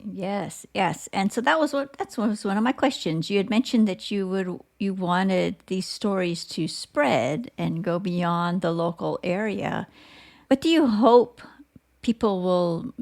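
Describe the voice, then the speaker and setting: A woman interviewing as a podcast host, asking questions in a conversational, measured, tentative way. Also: medium pitch, gravelly, a little mellow.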